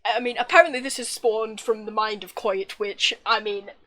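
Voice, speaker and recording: A woman co-hosting a casual podcast chat, giggly and excitable, gushing about what she likes. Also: medium pitch, normal speed. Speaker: podcast co-host.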